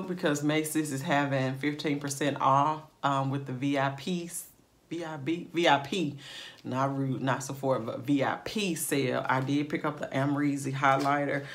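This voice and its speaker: A woman with a slightly raspy morning voice, chatting casually through a makeup tutorial with plenty of fillers and asides to her viewers. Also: a bass voice, a bit bright, a little hiss or hum. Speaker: beauty vlogger.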